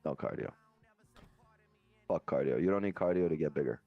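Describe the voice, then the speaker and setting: A man's voice: a bass voice, normal speed, no echo, faint music behind. A man co-hosting a live stream in casual banter, brash and cocky, swearing freely. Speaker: co-host on a live trading stream. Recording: dull lo-fi sound.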